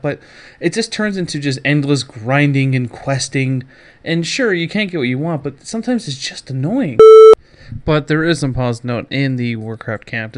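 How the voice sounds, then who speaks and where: Male podcast host, casual solo gaming commentary, blunt and opinionated, sweary, prone to sarcastic asides. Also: medium pitch. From Canada.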